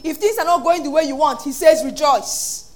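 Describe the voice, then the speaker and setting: A Nigerian woman pastor preaching an animated sermon, acting out voices and laughing often. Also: sits high, normal speed.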